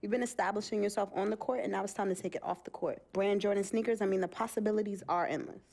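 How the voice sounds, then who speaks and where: An actress playing a sharp, sarcastic young woman who manages her brother's career in a video game cutscene. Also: mid-range voice, fairly even intonation, quick pace, gravelly. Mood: assertive.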